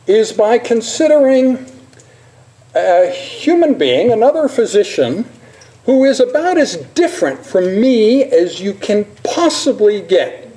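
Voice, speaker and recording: An older man, a physician, presenting an informal talk in a halting, self-deprecating manner. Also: high voice, mellow, poor audio.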